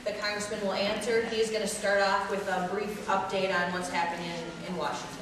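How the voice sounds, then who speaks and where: Brisk woman heard across an echoey hall. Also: mid-range voice, flat delivery. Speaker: congressional staffer running the meeting. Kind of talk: announcing meeting procedure. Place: large indoor meeting hall. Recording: echoey hall, distant camera mic, noisy.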